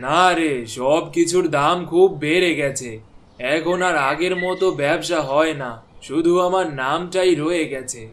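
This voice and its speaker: A man's voice: on the high side, unhurried, a bit bright. A man voicing a thrifty, scheming village biryani cook in a chatty, bargaining cartoon style. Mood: worried. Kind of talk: cartoon dialogue voice acting. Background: background music.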